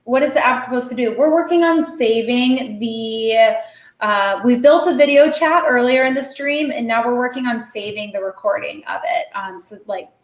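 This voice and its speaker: A woman hosting a live coding stream, slightly hoarse, talking herself through the code with lots of fillers. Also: a little high, moderate pace. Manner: thinking aloud while coding, many fillers. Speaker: host. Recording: echoey room.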